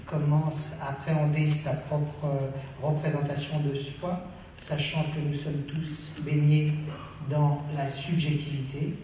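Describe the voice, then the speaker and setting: A man moderating a stage debate, introducing the guests in a halting way with many fillers. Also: on the high side, monotone, mellow, distant mic, slight background noise. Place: theatre stage. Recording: echoey hall, picked up from afar.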